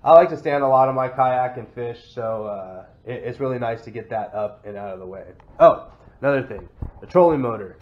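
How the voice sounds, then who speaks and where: A man's voice: medium pitch, animated, a little fast. Congested Texan man with rambling fillers. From Texas. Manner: rambling, many "uh" fillers and restarts. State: congested from a head cold. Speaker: kayak vlogger. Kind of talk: casual show-and-tell walkthrough. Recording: slightly dull sound with faint noise.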